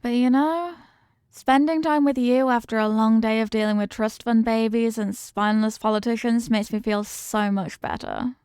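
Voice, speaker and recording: Woman acting as a smooth, doting female mafia boss. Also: fairly high pitch, fairly even intonation, normal speed, a little mellow, dry recording, studio quality, close to an everyday voice. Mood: affectionate. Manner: teasing, streetwise slangy talk with pet names. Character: confident, doting female mafia boss, tough but affectionate. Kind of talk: intimate ASMR-style roleplay acting.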